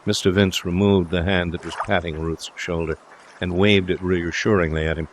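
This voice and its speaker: A man's voice: low-pitched, fairly even intonation, moderate pace, a little hiss or hum. A man reading a story aloud as an audiobook narrator, in scripted narration.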